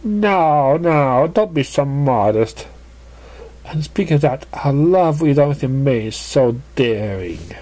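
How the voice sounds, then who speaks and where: A reader voicing a refined, fashionable female unicorn with a genteel, drawn-out, effusive manner. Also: mid-range voice, expressive, mellow. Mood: flattering.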